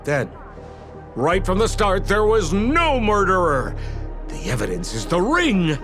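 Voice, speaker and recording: A man's voice: high voice, wildly swooping pitch, unhurried, exaggerated voice. An actor playing a wry, puzzled young male detective's assistant in a video game, musing hesitantly. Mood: wry, doubtful. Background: game music.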